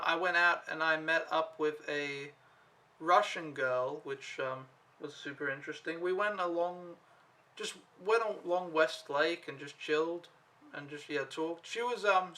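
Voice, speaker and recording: A young man from Denmark tells a casual vlog recap, wandering from point to point with plenty of fillers and the odd swear word. His voice sits a little high and sounds bright and forward. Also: unhurried. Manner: rambling, laid-back storytelling with lots of fillers and mild swearing. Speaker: au pair vlogger.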